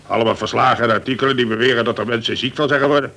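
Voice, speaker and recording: Gruff worried prison boss, gravelly sharp voice, keeps hesitating, radio drama. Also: male voice, mid-range voice, fairly even intonation, racing. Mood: fretful. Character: worried, irritable colonel, male prison governor. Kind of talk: radio play acting.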